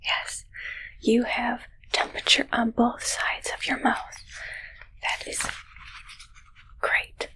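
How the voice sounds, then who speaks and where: A woman voicing an awkward alien posing as a medic in a whispered ASMR roleplay, with halting, broken speech and a puzzled manner. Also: on the high side, flat delivery, bright, forceful tone, full character voice, rough recording. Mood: pleased.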